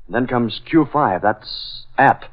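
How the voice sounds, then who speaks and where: An American actor playing a decisive boss and secret masked crime-fighter, crisp, deliberate and melodramatic in 1940s radio style. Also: male voice, mid-range voice, lively intonation. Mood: puzzling, thoughtful. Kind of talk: 1940s radio drama acting. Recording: dull, band-limited old radio recording.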